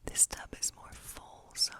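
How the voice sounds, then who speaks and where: A woman playing a hospitable, eccentric woodland witch in an ASMR role play, speaking in a breathy whisper with a slow, coaxing, reassuring, rambling manner. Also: lightly acted. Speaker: ASMR performer.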